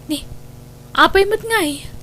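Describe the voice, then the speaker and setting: A woman's voice: very high voice, animated, slow, pushed and bright, slight background noise, full character voice. A woman with an Ilocano accent playing a lonely, resentful young wife in an audio drama, plaintive and evasive. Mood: wistful.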